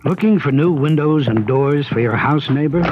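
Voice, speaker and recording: A man's voice: fairly high pitch, normal speed, background noise. A man reading a scripted 1970s radio advertisement in a salesmanlike announcer's manner. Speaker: commercial announcer. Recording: dull vintage radio broadcast.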